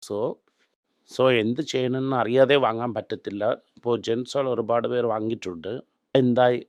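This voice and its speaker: A man's voice: mid-range voice, fairly even intonation, normal speed, darkish tone, no room sound. A man giving unscripted investment advice in a blunt, instructive way, often switching into English phrases and asking rhetorical questions. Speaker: financial expert answering audience questions.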